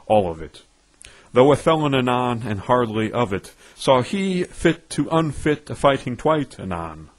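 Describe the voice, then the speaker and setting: A man with a husky voice reciting poetry in a theatrical, pompous, declamatory way with dramatic emphasis. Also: medium pitch. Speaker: poetry reader. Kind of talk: theatrical recitation of nonsense verse.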